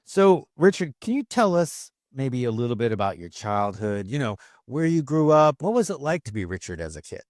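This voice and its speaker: A man's voice: medium pitch, big pitch swings, moderate pace, hardly any pauses, darkish tone, no room sound. A man with a mid-range voice hosts an interview podcast with upbeat, emphatic delivery. The recording is dry and close. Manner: upbeat, emphatic host introductions. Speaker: podcast host. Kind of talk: interview hosting.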